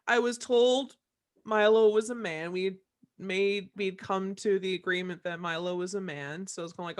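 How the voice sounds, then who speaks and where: A woman talking casually as a voice actor in a cast discussion, haltingly circling back to rephrase. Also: fairly low pitch. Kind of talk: casual chat.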